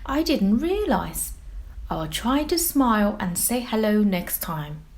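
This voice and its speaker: A woman voicing an earnest, childlike young squid in a children's storybook, sweet and expressive. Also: mid-range voice, slow, pitched above the performer's own voice. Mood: cheerful, eager.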